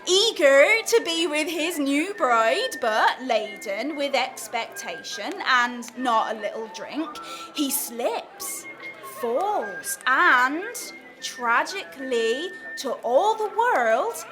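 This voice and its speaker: A conspiratorial maidservant in a period radio comedy. She speaks in a high voice at a very measured pace, deferential yet scheming, over clearly heard music. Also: expressive. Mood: conspiratorial, dramatic. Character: scheming, deferential lady's maid, coaching her mistress in murder.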